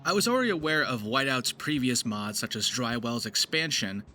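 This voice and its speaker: A man's voice: mid-range voice, animated, normal speed, bright, forceful tone, a little hiss or hum. A man giving effusive, emphatic video commentary as the host, with some swearing. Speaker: video host and amateur voice actor. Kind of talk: video essay commentary, semi-scripted. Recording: faint music under voice-over.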